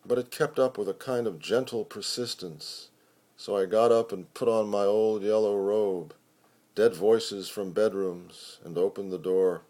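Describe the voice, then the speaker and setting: A man giving an expressive poetry recitation with measured, deliberate phrasing. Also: on the low side. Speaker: poetry reader.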